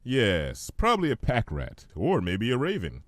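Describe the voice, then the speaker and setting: A man narrates a fan fiction story in an expressive storybook style. His medium-pitched voice moves with very lively intonation, and the sound is dry and close. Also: measured pace, no echo.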